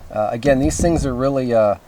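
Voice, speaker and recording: A man's voice: medium pitch, fairly quick, warm tone, a little hiss or hum, poor audio. A man giving a casual, rambling hands-on demonstration as he explains gear, in an informal manner. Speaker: presenter of CB radio antenna tests.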